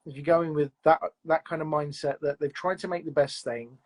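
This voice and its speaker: A man's voice: on the high side, fairly even intonation, a little fast. A man being interviewed about producing audio fiction, talking in a reflective, rambling way with lots of "you know"s and restarts. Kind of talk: casual interview chat.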